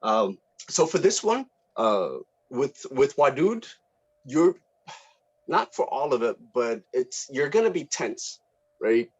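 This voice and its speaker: Casting: male director giving casual notes, mid-range voice, halting delivery, dull lo-fi sound. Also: medium pitch, big pitch swings, breathy edge. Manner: halting, searching for words.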